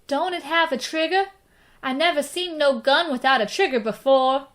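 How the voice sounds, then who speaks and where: Woman as young western lad: high-pitched, folksy, wide-eyed admirer, radio play. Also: moderate pace, a bit bright. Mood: curious. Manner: folksy, eager. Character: eager, hero-worshipping young frontier boy. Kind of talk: western radio drama acting.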